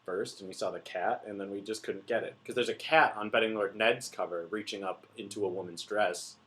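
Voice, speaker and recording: A man's voice: fairly low pitch, fairly even intonation, brisk, a bit bright. A man co-hosting a podcast in casual, joking chat, laughing often. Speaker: podcast co-host.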